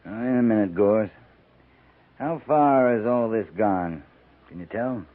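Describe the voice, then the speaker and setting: Low-voiced, tough cavalry captain, played by a man. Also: a little slow, narrow, tinny audio, close to an everyday voice. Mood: businesslike. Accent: American. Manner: firm, laconic, commanding. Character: steady, tough, plain-spoken US cavalry captain. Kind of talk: 1950s radio western acting. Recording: band-limited 1950s radio recording.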